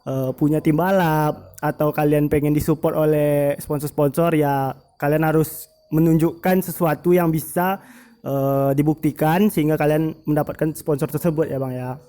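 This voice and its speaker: A man's voice: a little high, level tone, moderate pace, mellow. A male motovlog host interviewing a racing team, chatty and full of fillers, often turning to speak to his viewers. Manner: chatty, frequent fillers, keeps addressing viewers as friends. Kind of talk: casual interview, addressing viewers.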